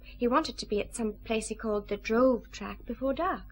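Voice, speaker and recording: An earnest, vulnerable woman in a radio play voiced by an actress. Her voice sits fairly high and sounds like an ordinary office worker's. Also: moderate pace, natural voice. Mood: eager. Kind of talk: radio drama acting.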